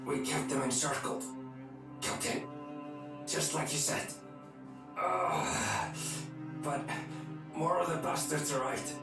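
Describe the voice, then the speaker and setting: An actor playing a loyal wounded mercenary warrior in pain, with strained, gasping, halting delivery in a video game. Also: male voice, on the high side, expressive. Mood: pained, grim. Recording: echoey, distant, under loud music.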